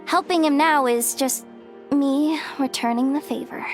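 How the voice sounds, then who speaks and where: A young actress playing a guarded, pragmatic young woman with a soft heart and a wry, casual way of talking in a video game. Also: high-pitched, a little slow. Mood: dismissive. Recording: studio voice over game music. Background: background music.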